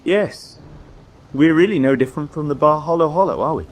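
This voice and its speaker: An actor playing a courteous, wry officer in a radio drama. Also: male voice, on the high side, animated, fairly quick, close to an everyday voice. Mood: resigned. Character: courteous, wry British officer visiting an old colleague.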